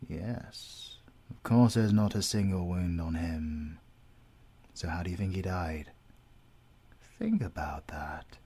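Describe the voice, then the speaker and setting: A British man acting a menacing, coldly courteous villain interrogator in an audio roleplay, speaking formally and deliberately, at times dropping into a whisper. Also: sits low, mellow. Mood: menacing, businesslike.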